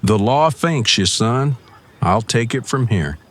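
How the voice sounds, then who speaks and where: A man playing a folksy, unhurried sheriff in an old-time radio western. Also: mid-range voice, lively intonation, fairly bright tone. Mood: folksy, approving. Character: gruff, slow-talking Old West sheriff, outwardly folksy.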